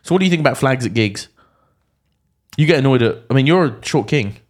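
A man's voice: medium pitch, lively intonation, a little fast. A man in his thirties co-hosting a casual podcast, rambling and reminiscing in a chatty way. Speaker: podcast co-host. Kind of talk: casual chat.

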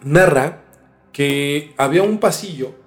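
Mexican man, fairly high voice, informal podcast storyteller, loose and stumbling phrasing, faint music underneath. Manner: informal, halting, mildly profane. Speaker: podcast host. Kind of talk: casual storytelling discussion.

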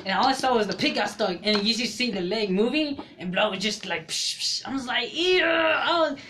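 A man's voice: very high-pitched, animated, moderate pace, bright, forceful tone. A young man co-hosting a casual podcast, telling a story in loose slang and swearing freely. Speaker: podcast co-host. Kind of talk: casual chat. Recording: noisy home recording.

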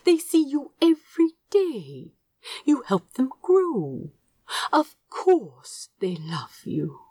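Woman voicing a soft, breathy, gentle old male angel. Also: sits high, huge pitch swings, takes it slowly, dry recording, pitched above the performer's own voice, exaggerated voice. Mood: tender, pleading. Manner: tender, soft, often dropping into near-whisper. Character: gentle, fussy, ancient male angel bookseller, tenderly patient. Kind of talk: audiobook character dialogue.

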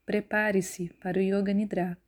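A woman leading a guided yoga nidra relaxation in a calm, measured, instructive way. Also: mid-range voice. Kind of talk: guided relaxation meditation narration.

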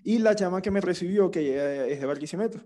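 A young man with a Venezuelan accent talks in an easy, rambling way, full of slang and restarts. His voice sits a bit high for a man, with a warm, mellow tone. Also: fast talker. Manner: relaxed storytelling with slang and restarts. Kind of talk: casual chat.

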